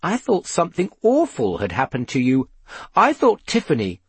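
A man voicing an earnest, excitable boy who plays amateur sleuth in a children's story, anxious and blustering. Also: fairly high pitch, moderate pace, slightly rough, no room sound, full character voice. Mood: anxious, relieved.

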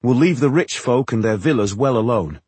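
A man's voice: medium pitch, animated, moderate pace, a little mellow, breathy edge, no echo. A man voicing a sensible, decisive male dwarf leader with a practical, firm manner in a fantasy audiobook. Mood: cautious, firm.

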